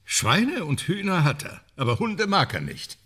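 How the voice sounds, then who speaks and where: An actor playing a probing, sceptical male amateur detective in a radio crime drama, terse and questioning, with a gravelly, husky voice. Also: high-pitched, sing-song, a little slow, pushed and bright, full character voice.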